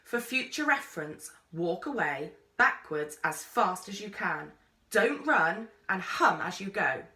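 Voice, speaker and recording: A woman reads as a gruff male explorer from a storybook. He is abrupt and commands without gentleness, in a bright, animated delivery. The sound is roomy. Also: medium pitch, unhurried. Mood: stern, abrupt. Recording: roomy echo, distant microphone.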